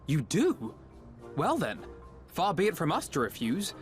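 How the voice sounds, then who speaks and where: Inquisitive scholarly hero, expressive lighter voice, archaic formal wording, game cutscene over music. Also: male voice, on the high side, a little slow. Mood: courteous. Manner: formal, bookish, archaic phrasing. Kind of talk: video game cutscene acting. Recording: background music clearly audible.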